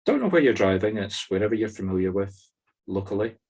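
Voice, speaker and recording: Deep-voiced Scottish man guiding a meditation. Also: sing-song, a little mellow, breathy edge. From Scotland. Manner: soothing, slow pauses between short instructions. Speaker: spiritual medium leading a meditation group. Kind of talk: guided meditation. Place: online video-call circle. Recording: video call audio.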